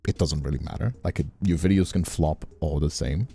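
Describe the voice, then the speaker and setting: A man's voice: very deep voice, fairly quick, vocal fry, mellow, husky. A man from Brazil, a native Portuguese speaker, chatting casually as a livestream host in a rambling way. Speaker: streamer and voice actor, hosting.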